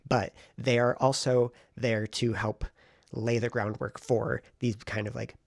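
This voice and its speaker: A man talking in a casual vlog style, thinking aloud in an informal, meandering way, with a husky voice. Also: mid-range voice, level tone, a little slow, no room sound, studio quality. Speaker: vlogger and fiction writer. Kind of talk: casual rambling vlog talk.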